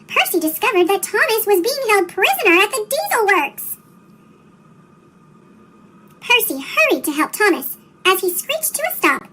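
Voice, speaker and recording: Very high chipmunk-voiced woman reading a story. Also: sharp tone, slight background noise, poor audio. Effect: sped-up chipmunk voice, childlike. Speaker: storybook reader. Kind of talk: scripted children's story read-aloud.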